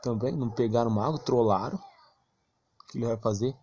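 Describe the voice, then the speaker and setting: A man's voice: medium pitch, normal speed, darkish tone, highs cut off, slight background noise. A man with a Brazilian accent giving casual, slangy, blunt gaming commentary with mild cursing. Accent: Brazilian Portuguese. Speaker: gameplay streamer.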